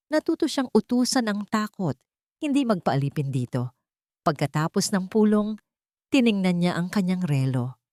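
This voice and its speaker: A Filipino woman narrates a dramatic love story as a storyteller. Her voice sits fairly low for a woman and the sound is dry and close. The delivery is measured and sentimental. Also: darkish tone, no room sound.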